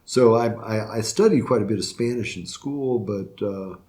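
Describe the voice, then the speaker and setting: Older man, very deep voice, halting speech, restarts, judge in a sit-down interview. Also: darkish tone. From Florida, USA. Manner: halting, many restarts and fillers. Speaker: retiring circuit court judge. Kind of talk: reflective interview answers.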